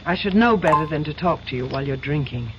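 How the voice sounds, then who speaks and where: A woman's voice: low voice, animated, fast talker. An actress playing a cool, pragmatic girlfriend in an old-time radio drama, firm, controlled and reproachful. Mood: scornful, warning. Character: cool, pragmatic girlfriend, daughter of a powerful man. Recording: dull, faintly noisy old radio recording.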